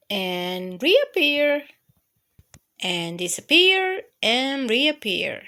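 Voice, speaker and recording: A woman's voice: high voice, bright, forceful tone. A woman hosting a children's storytime, reading picture books aloud. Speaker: children's storytime host and reader. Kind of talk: read-aloud children's picture book narration.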